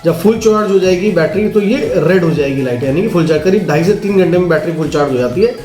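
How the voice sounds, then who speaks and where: A man with an Indian accent walks through a product in a casual, instructional way. His voice sits a little high, and he keeps checking that listeners follow. The room is clearly echoey, with music faintly behind him. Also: quick pace, darkish tone. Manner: explanatory, checks in with listeners using tag questions. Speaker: product demonstrator.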